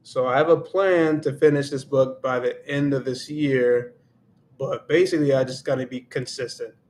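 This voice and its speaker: A man's voice: medium pitch, steady tone, moderate pace, darkish tone, slight background noise. Reflective man thinking aloud on a livestream. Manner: reflective, thinks aloud, switches into Spanish now and then. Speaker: livestream host and Spanish learner. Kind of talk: casual livestream chat.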